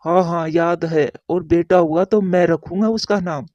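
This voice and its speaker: A woman's voice: fairly low pitch, steady tone, a little slow, darkish tone, no room sound, highs cut off. A woman voicing a caring, devoted male pigeon husband in a cartoon, with a concerned, reassuring manner. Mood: easygoing. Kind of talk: Hindi cartoon voice acting.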